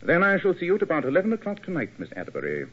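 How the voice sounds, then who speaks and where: An actor playing a shrewd, courteous English gentleman detective with a British accent in old-time radio style. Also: high voice, wildly swooping pitch, very fast, slightly rough, exaggerated voice. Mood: brisk, persuasive. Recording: tinny old radio recording.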